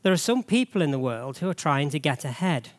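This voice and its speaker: A man's voice: on the high side, big pitch swings, a little fast, no echo. A man with a cold, losing his voice, giving a chatty, jokey church sermon full of rhetorical questions.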